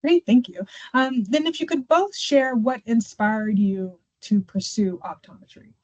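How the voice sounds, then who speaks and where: A woman's voice: on the high side, unhurried, darkish tone. Dull-sounding webinar recording, woman presenting. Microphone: online video call.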